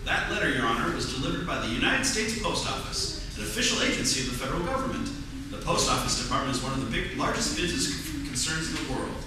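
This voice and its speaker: A man's voice: a little high, big pitch swings, fast. A man playing an earnest, crafty defense lawyer in a stage production of a 1940s radio play. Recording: distant echoey theatre, noisy.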